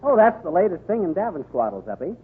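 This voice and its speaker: An actor playing a boastful, folksy middle-aged American husband in a 1930s radio comedy, with a bragging, animated manner. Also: male voice, high-pitched, quick pace. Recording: scratchy, tinny 1930s radio recording.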